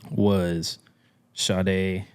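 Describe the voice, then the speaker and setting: Very deep-voiced laid-back man. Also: little pitch movement, very slow, darkish tone, clear, resonant tone. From New Orleans. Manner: laid-back, slangy, laughs often. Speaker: guest, drummer and music professor. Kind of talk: casual storytelling in a podcast interview.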